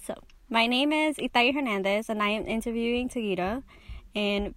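A woman's voice: a little high, moderate pace, a bit bright. A woman conducting an oral history interview over a remote call, asking short plain questions. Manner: short plain questions, hesitant follow-ups. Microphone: remote video call.

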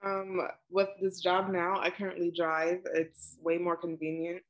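A woman, answering questions in a relaxed oral history interview over a video call, with plenty of ums and likes. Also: fairly low pitch, unhurried, rough recording. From Brooklyn, New York. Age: 20s.

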